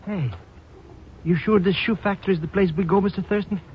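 An actor playing a cowardly, wisecracking comic sidekick, slangy, excitable and complaining, in a 1950s radio drama. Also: male voice, high-pitched, a little fast, close to an everyday voice. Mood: grumbling. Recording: muffled, noisy old radio recording.

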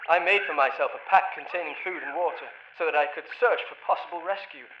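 A man's voice: on the high side, fast, lightly acted. An actor playing an exhausted, haunted shipwrecked sailor in a radio drama, telling his tale in ornate, archaic language. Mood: grim, weary. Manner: ornate, archaic storytelling delivery. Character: shipwrecked sailor, sole survivor, exhausted and haunted, insisting on sanity. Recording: muffled, roomy, hissy radio transmission.

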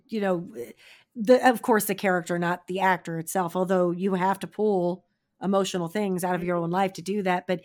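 A woman co-hosting a podcast in casual chat, with a naturally raspy voice that sounds strained and tired, chiming in with short remarks that trail off. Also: medium pitch. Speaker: podcast co-host.

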